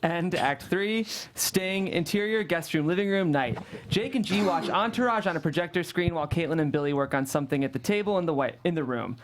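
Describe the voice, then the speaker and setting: Higher-voiced man hosting a script read. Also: fairly quick, slight background noise. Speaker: writer and host. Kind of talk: reading screenplay directions and discussing the script.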